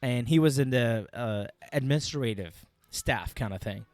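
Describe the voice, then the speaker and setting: A man's voice: mid-range voice, normal speed, no echo. A man in his thirties from Iraq, a native Arabic speaker with an Iraqi accent, tells a personal war story in an interview, emphatically and with repeated phrases. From Iraq, Baghdad. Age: 30s. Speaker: podcast guest, military veteran.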